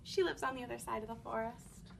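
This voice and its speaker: A woman's voice: on the high side, fast, creaky, slight background noise, pitched below the performer's own voice. A woman voicing a naive, polite little girl in a storybook, earnest and eager. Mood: innocent, polite. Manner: bright, earnest, innocent.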